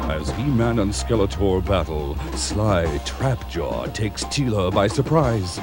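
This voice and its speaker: Male narrator: low, animated, dramatic storybook read over loud music. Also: takes it slowly. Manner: dramatic, declamatory.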